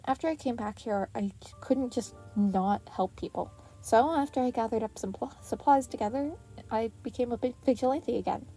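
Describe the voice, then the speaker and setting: A woman voicing an earnest, excitable teenage boy hero who gets flustered, in an anime fan story reading. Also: fairly high pitch, moderate pace, husky, faint music behind, low-quality recording. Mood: recounting, earnest. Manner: stammering when flustered.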